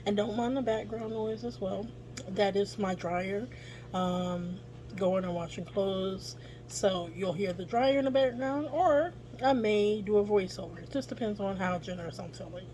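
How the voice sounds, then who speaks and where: A woman's voice: medium pitch, steady tone, normal speed, dark tone. A woman with a scratchy voice, chatting casually through a home cooking vlog with lots of ums, filmed on a phone in her kitchen. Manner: chatty, frequent ums and throat clearing. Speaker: family vlogger. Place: home kitchen with dryer running. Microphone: phone camera, later on a mount.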